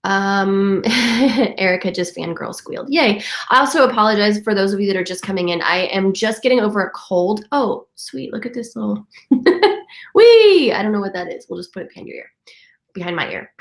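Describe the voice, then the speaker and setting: A young woman talks in a chatty, upbeat way, her voice congested from a cold. She speaks at an ordinary pitch and fills her talk with little fillers. Her voice rings in an echoey room at home. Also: animated, normal speed. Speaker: vintage lifestyle vlogger. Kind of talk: casual live chat answering comments.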